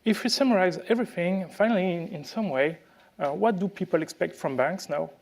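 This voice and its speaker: A man with a French accent gives a conference talk, his voice pitched somewhat high for a man. He speaks haltingly, restarting words and filling pauses with "uh" as he works through his points. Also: creaky, a little mellow. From France. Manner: halting, frequent restarts and fillers. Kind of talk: conference presentation.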